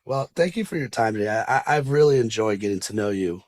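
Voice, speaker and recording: A man hosting a podcast, asking questions in a loose, halting way with many fillers. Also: fairly low pitch, lively intonation, darkish tone, no room sound, studio quality. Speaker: podcast host. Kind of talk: interview questions.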